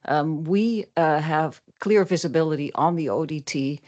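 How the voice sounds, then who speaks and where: A man's voice: a little high, normal speed, a little mellow, lo-fi sound. A man from the Netherlands with a Dutch accent, speaking hesitantly with many fillers as a resident giving public comment.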